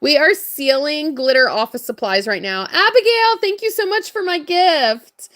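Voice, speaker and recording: A woman with a hoarse, worn voice, chatting casually and greeting viewers while crafting on a livestream. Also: sits high, animated, moderate pace, fairly bright tone. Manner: chatty, upbeat, laughs often. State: hoarse, voice strained from hours of talking. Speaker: livestream crafter and shop owner.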